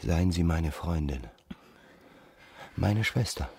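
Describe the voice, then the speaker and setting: An actor playing a suave, ardent seducer in a radio drama, flattering and insistent. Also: male voice, slow pace, slight background noise, full character voice. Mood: ardent, pleading. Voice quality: husky, very deep. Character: suave, ardent male seducer wooing a married woman.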